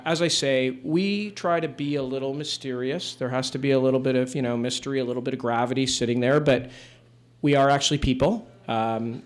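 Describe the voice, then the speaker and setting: A man in his fifties from Canada, a judge telling stories to a room of people in a relaxed, wry, conversational way with fillers. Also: mid-range voice. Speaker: judge giving a talk. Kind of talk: relaxed storytelling talk to a live audience. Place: room with an audience.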